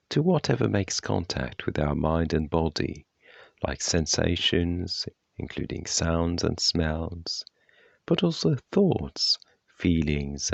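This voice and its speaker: A man guiding a body scan meditation with gentle, unhurried, measured phrasing and long pauses. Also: low-pitched, creaky, dry recording, muffled recording. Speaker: meditation guide.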